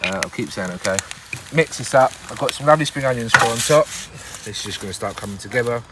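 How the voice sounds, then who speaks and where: A man's voice: medium pitch, lively intonation, fast, heavy background noise. A man hosting a casual outdoor cooking show in the woods, talking through each step with plenty of jokes and laughter. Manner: chatty, jokey, laughs often.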